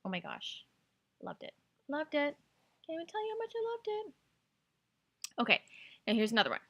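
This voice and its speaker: A woman's voice: high-pitched, wildly swooping pitch, moderate pace, a bit bright. A woman hosting a book podcast in an enthusiastic, gushing manner. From Canada. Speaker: podcast host. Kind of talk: book recommendation podcast, casual talk with read-out blurbs and quotes.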